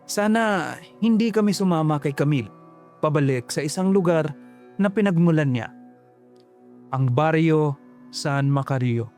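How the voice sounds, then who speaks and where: A man tells a first-person horror story in a slow, ominous, reflective voice, pitched a little high. Faint music runs under his narration. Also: expressive, a little mellow.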